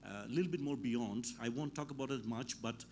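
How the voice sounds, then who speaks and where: A man's voice: mid-range voice, fairly quick, a little mellow, a little hiss or hum. A man raised in Dubai, born in Bangladesh, speaking informally and a bit ramblingly as an events-industry speaker. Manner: informal, chatty, a little rambling. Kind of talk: unscripted conference remarks.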